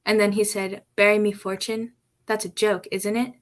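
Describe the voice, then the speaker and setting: A woman's voice: mid-range voice, little pitch movement, moderate pace, natural voice. Radio drama casting: young village servant girl, played by a woman, mid-range voice, defensive and plaintive. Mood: forlorn. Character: Mary, forlorn, defensive young village maid.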